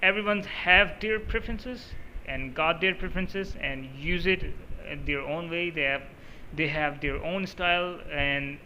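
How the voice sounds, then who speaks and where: A man's voice: on the high side, pushed and bright, slight background noise, poor audio. A man whose first language is Urdu, giving a loose, rambling settings walkthrough over a screen recording, with plenty of filler sounds. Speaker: mobile gaming channel host. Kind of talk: casual off-the-cuff settings walkthrough.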